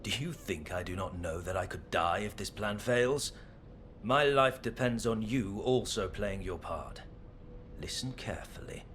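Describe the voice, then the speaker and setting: A grave, low-voiced schemer. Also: male voice, a bit bright, a little hiss or hum. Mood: urgent, commanding. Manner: measured, solemn, deliberate. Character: grave, calculating male schemer staking his life on revenge. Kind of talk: dramatic video game dialogue.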